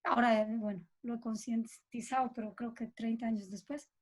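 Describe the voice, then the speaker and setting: Woman, middle-aged, Bolivian accent, fairly high voice, expressive, informal interview talk, thinks aloud. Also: moderate pace. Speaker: composer being interviewed.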